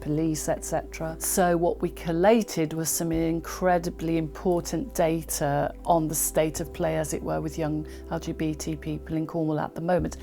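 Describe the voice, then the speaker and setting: Female youth worker, interview style, notably deep register, soft music underneath. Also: flat delivery, normal speed, creaky, few pauses. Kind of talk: explanatory interview talk.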